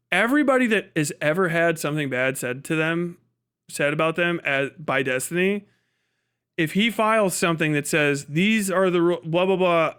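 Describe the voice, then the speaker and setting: A man with a rather high voice rants off the cuff as a livestream commentator. He is exasperated and emphatic, swears freely, and hammers a point by saying it again. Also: a bit bright, smooth. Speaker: livestream commentator reacting to clips. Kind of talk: casual unscripted political rant.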